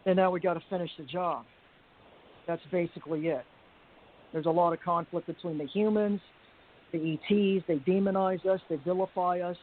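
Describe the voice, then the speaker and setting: A woman with a deep voice giving a rambling personal account on a group call, halting with frequent restarts and fillers. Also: steady tone. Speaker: panel guest. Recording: tinny phone-like line with faint hiss.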